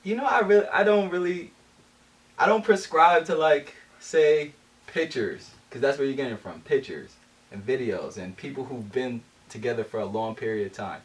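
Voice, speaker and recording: A man with a mid-pitched voice talks through his views in a relaxed group discussion, often posing questions to make his case. The mic sits some distance from him. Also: moderate pace. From Jamaican heritage. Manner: thoughtful, builds arguments with questions. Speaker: podcast co-host.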